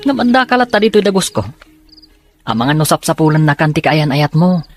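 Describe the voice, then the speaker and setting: A man playing a fairly high-voiced, wry young friend. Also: sing-song, brisk, faint music behind. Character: skeptical, inquisitive young city boarding-house friend. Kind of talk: Ilocano radio drama acting.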